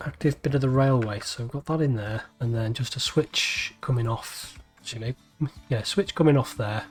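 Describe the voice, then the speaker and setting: A man's voice: medium pitch, darkish tone. A man with a Scouse accent giving casual gameplay commentary, chatting and thinking aloud with frequent restarts and asides to himself. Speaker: video game let's-play creator.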